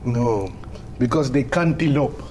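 A man, a comedian, trading jokes at a studio table, animated and quick to laugh. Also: noisy background. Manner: animated, laughs often, bursts into high laughs. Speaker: comedian contestant. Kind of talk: joke-telling game banter.

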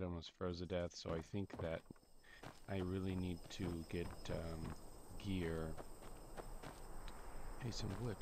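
Deep, slow-talking male gamer. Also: gravelly. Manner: laid-back, halting, musing aloud. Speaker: gameplay video host. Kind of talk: casual rambling gameplay commentary.

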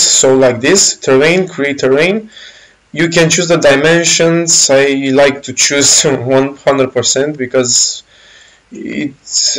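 A man with a Romanian accent casually walking through a game engine's features, pausing often with fillers and correcting himself. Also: medium pitch, low-quality recording. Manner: halting, many fillers and self-corrections. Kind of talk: casual software walkthrough.

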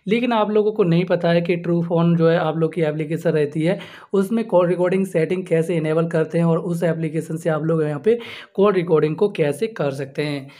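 A man's voice: fairly high pitch, dark tone. A man presenting a step-by-step phone app tutorial, repeating himself and addressing viewers as friends. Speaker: host of a tech tutorial channel.

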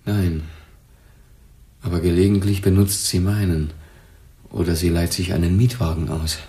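A man acting in a radio drama as a brooding, hesitant son smothered by his mother, speaking in a halting, subdued way. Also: low-pitched, flat delivery, mellow, reverberant, lightly acted. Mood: uneasy.

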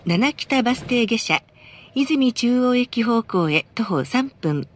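Lively, melodic woman reading bus adverts. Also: a little high, takes it slowly, a little hiss or hum. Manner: polite, formal. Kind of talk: scripted advertising announcement.

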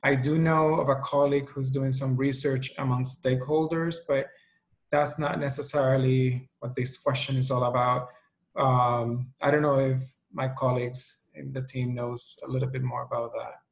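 A man from Puerto Rico whose first language is Spanish, answering questions on a webinar panel over a video call, thoughtful and pausing often. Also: medium pitch, flat delivery, vocal fry, low-quality recording. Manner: thoughtful, with frequent ums and restarts.

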